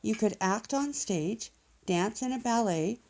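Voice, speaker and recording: Storybook professor bear, male, played by a woman: chatty teaching guide, encouraging, lively melody, slightly creaky. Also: on the high side, measured pace, slight background noise. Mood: earnest, encouraging. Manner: encouraging, explanatory, emphatic. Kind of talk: children's storybook character voice.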